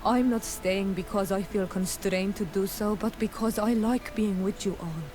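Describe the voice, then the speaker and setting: A woman's voice: medium pitch, moderate pace, background noise, lightly acted. An actress playing an earnest, devoted young native clanswoman in a video game. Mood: warm, sincere.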